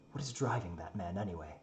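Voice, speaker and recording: A man's voice: low voice, noisy background, pitched below the performer's own voice. A man voicing a proud, grumpy non-human creature in a dramatic story reading, haughty and brooding. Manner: brooding, haughty, muttering monologue.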